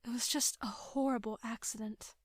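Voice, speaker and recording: Middle-aged mother, played by an actress, slightly high voice, gentle, doting, gracious hostess, audio drama. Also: steady tone, moderate pace, dry recording, close to an everyday voice. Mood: concerned, soothing.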